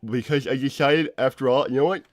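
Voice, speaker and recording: A man playing an awkward, exasperated cartoon animal inventor, co-hosting an in-character livestream in halting, repetitive chat. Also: medium pitch, dry recording, close to an everyday voice. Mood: bitter, defensive. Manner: halting, stammering, repeats himself. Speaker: livestream co-host.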